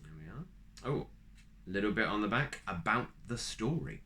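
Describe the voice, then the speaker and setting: A man reading a children's storybook aloud, animated, with asides to the listener. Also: on the low side, moderate pace, slight background noise.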